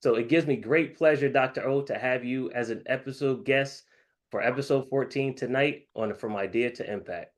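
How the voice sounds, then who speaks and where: A man's voice: medium pitch, normal speed. A man hosting an interview show, upbeat and promotional as he introduces his guest. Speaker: host.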